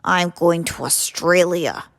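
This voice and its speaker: A woman's voice: on the low side, slow, gravelly, in a large hall, voice pushed down. Woman as a grumpy, sulking storybook boy. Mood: fed up. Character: grumpy, sulking young boy narrating his bad day.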